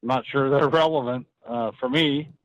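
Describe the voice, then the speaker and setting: A man with a medium-pitched voice answers as a client in an unscripted phone conversation. He is measured and hesitant, working out his thoughts as he speaks. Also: fairly bright tone, no room sound, highs cut off. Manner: measured, hesitant, thinking aloud.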